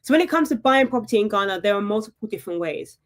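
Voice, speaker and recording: A woman hosting a live property webinar, chatty and upbeat, often checking in with her viewers with a quick "right?". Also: mid-range voice, animated. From United Kingdom. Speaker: property investment webinar host.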